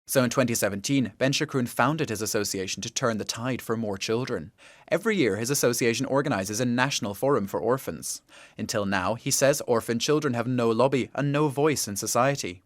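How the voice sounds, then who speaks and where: Calm male radio feature narrator. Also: medium pitch, brisk, no echo. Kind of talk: scripted documentary narration.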